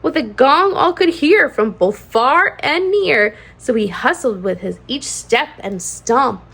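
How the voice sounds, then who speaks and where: A woman reading a children's storybook aloud in an animated style, with a singsong cadence and expressive emphasis. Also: high voice, slow, creaky, slightly rough. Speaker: children's read-aloud channel host.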